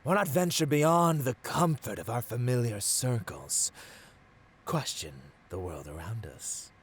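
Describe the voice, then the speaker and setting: Theatrical, slow, seductive supervillain. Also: male voice, mid-range voice, expressive. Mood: grandiose, seductive. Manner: theatrical, grandiose, slow savoring delivery with breathy drops to whispers. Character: gloating seductive male supervillain taunting a caged hero. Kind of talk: ASMR villain roleplay monologue.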